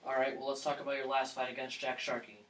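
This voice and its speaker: Man playing a fast 1920s interviewer, echoey. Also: mid-range voice, level tone, fairly bright tone, natural voice. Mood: brisk. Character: brisk 1920s male sports interviewer. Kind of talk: scripted 1920s radio interview. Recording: echoey room, slightly distant mic.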